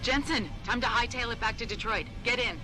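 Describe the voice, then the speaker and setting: Woman acting a feisty, blunt pilot over loud music. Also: a little high, natural voice. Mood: urgent. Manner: brisk, blunt. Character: feisty, no-nonsense female pilot. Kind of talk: video game voice acting. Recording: loud music and vehicle noise behind.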